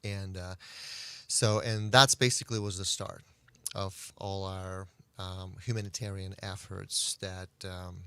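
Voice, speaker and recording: Man, low voice, smooth tone with some fry, halting, interview guest. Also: little pitch movement, pushed and bright, no room sound. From Central Asia. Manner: halting, searching for words. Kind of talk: interview account. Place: radio studio.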